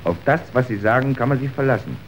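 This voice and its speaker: An actor playing a callous, smooth-talking factory foreman in a radio drama, coaxing and persuasive. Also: mid-range voice, expressive, quick pace, lightly acted. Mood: brisk, dismissive. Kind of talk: scripted radio drama acting. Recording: dull, faintly hissy old radio recording.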